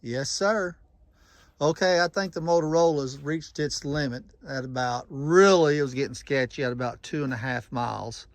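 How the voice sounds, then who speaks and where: A man's voice: a little high, normal speed, dry recording. A man giving casual, plain-spoken running commentary and making radio check calls in a folksy way. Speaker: vlogger testing walkie-talkies.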